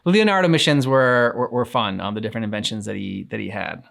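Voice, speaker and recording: A man co-hosting a reaction show, chatting casually and haltingly, often trailing off mid-thought. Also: fairly low pitch, vocal fry, fairly bright tone. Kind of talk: casual chat reacting to game cutscenes.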